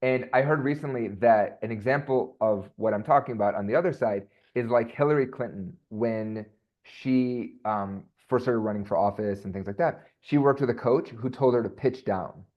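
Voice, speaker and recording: A man speaking as a voice coach guest, chatty and animated, with plenty of fillers and restarts. His voice sits in a middle range. The sound is dull and a bit lo-fi. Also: medium pitch, moderate pace. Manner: animated, rambling with many fillers. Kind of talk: casual podcast interview.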